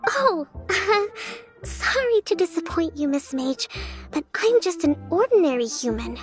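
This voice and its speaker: A very high, animated young female general in a game. She is confident, friendly and chatty, encouraging whoever she talks to, with music behind. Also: measured pace, full character voice. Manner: upbeat, chatty, warm-hearted. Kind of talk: video game character acting.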